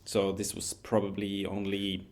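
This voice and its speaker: A man, telling a story as a podcast guest in a relaxed, measured way with frequent restarts. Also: low voice. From Norway. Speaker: guest, wingsuit pilot.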